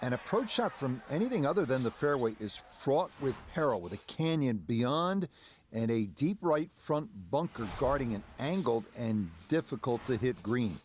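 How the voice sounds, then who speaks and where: A man playing a polished TV golf play-by-play announcer, giving measured, descriptive sports commentary in a video game. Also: medium pitch, very animated, close to an everyday voice. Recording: background music under the voice.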